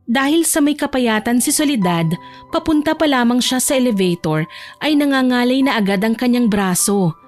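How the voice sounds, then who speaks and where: A woman's voice: fairly high pitch, darkish tone. A woman reading scripted narration for a romance audio drama, with music playing behind her.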